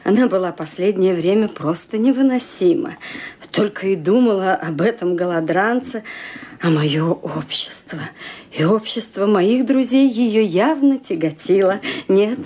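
A stubborn, fussy elderly widow, played by an actress in an old radio play. She speaks in a querulous, set-in-her-ways manner at an ordinary middle pitch. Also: unhurried, warm tone, lightly acted. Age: older. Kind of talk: Soviet-era radio play acting.

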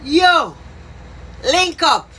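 High, bright, animated man. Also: extremely slow, poor audio. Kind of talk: exclaimed slang demonstration for short video.

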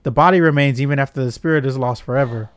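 A man's voice: mid-range voice, close to an everyday voice. Man putting on a cold, menacing villain commander. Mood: cold menace. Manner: measured, commanding. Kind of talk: voiced reading of game dialogue. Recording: game music behind.

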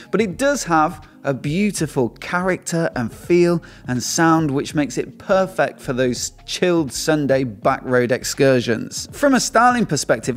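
A man, hosting an upbeat scripted motorcycle review and sponsor read at a studio desk mic. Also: medium pitch. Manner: upbeat, lively scoring delivery. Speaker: motorcycle channel host. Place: small indoor studio with shelves. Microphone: desk mic on stand in front of him.

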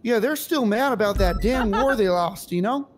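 A man's voice: high-pitched, normal speed, slight background noise, lightly acted. A man doing a blustery old cowboy recruiter. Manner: blustery, prejudiced drawl, stumbling when flustered. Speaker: roleplay character recruiting for a unit. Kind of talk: improvised roleplay.

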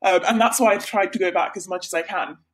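A young woman from Sri Lanka, a healthcare researcher, answering interview questions in a bright, chatty way with many pauses and restarts. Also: medium pitch. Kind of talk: casual interview.

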